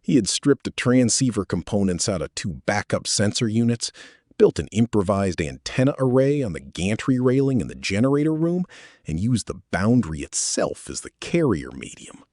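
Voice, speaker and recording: A man's voice: fairly low pitch, sing-song, moderate pace, a little mellow, dry recording, clean studio sound. A man with a Canadian accent reading a first-person story as scripted audiobook narration.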